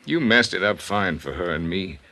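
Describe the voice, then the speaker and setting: A hardened American hired man shaken by love, in vintage radio drama style. The voice is deep, and he talks haltingly, full of remorse, like someone confessing. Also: lively intonation, background noise. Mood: sullen. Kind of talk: 1950s radio drama acting.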